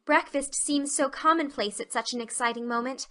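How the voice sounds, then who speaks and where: Woman doing an excitable little girl for an audiobook, gushy and dreamy, high bright voice. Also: normal speed. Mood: rapturous, earnest. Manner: gushing, effusive, breathless exclamations. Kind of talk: audiobook character acting.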